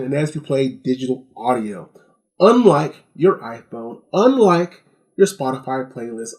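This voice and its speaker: A man's voice: on the high side, darkish tone. A middle-aged man hosting a casual gadget review, talking to viewers in a chatty way with wry asides.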